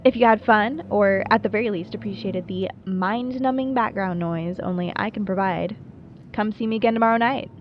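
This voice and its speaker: A woman's voice: mid-range voice, moderate pace, close to an everyday voice. A dull, lo-fi radio sound with music carries an actress as a late-night small-town host. She is a woman with a mid-range voice, playful and wry. She chats to her listeners with dry, self-deprecating jokes, as in a radio roleplay. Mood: wry, breezy. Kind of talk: scripted radio show monologue, audio roleplay.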